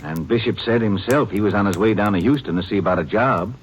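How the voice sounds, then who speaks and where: An actor playing a chatty, easygoing small-town county sheriff, in a 1950s radio drama. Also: male voice, fairly low pitch, fast, husky. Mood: folksy. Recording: dull old radio broadcast recording.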